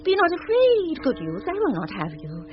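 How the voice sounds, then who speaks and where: High-voiced, proud lovesick lady. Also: huge pitch swings, moderate pace, over music. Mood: proud, wistful. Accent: British. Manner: stately, yearning, poised. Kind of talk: classic British radio Shakespeare acting. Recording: dull, noisy vintage radio recording.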